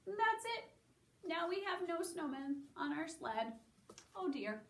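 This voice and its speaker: A woman hosting story time for young children, speaking in a sing-song, encouraging way and pausing as if for their answers. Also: very high-pitched, big pitch swings, a little hiss or hum. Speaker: children's story time host at a public library. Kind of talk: children's storytelling and singing, addressing young listeners. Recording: roomy echo, distant microphone.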